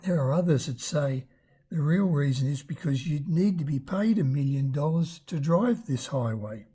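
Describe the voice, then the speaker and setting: An older travel vlogger with a raspy voice, narrating a road trip in a chatty, unhurried way, pausing now and then to search for words. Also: medium pitch, expressive, dark tone. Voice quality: raspy from damaged vocal cords.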